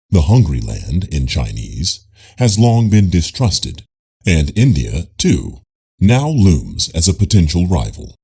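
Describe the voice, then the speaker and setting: A man narrates nonfiction in a low, husky voice. His reading is formal and measured, as in an audiobook, yet the pitch moves in a lively way across each sentence.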